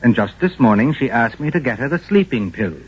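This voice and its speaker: An actor playing a cool, calculating middle-aged husband with a terse, dryly patient manner in a 1950s radio drama. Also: male voice, mid-range voice, lively intonation, a little fast, breathy edge. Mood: calculating, leading. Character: cool, calculating greying husband, detached murderer. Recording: muffled 1950s radio recording.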